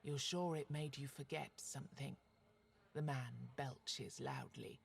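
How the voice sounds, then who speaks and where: A performer voicing a dark fantasy game storyteller, with a breathy, gravelly voice and scripted delivery. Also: mid-range voice, fairly even intonation, slow pace. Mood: ominous.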